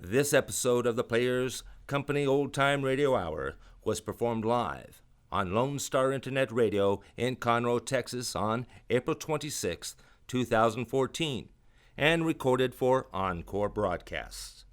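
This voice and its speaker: A man's voice: medium pitch, expressive, measured pace. A man announcing for an old-time radio show in a scripted presentational style.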